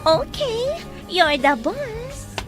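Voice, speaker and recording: High, animated woman acting in a drama. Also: very slow, over music, exaggerated voice. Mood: playful, flirty. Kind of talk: scripted Ilocano audio drama.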